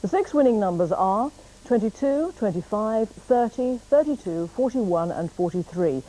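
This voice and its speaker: A woman's voice: medium pitch, lively intonation, normal speed, dark tone, rough recording. A British woman reading scripted news as a television bulletin presenter.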